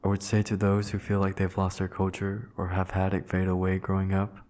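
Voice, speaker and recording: Casting: deep, flat, earnest male narrator from Vietnam, voice-over under loud music. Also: a little fast. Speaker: documentary narrator. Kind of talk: reflective documentary voice-over.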